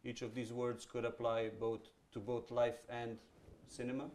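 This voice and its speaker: A man's voice: mid-range voice, monotone, normal speed, darkish tone, slight background noise. A man moderating an onstage conversation, chatty, with many fillers and false starts.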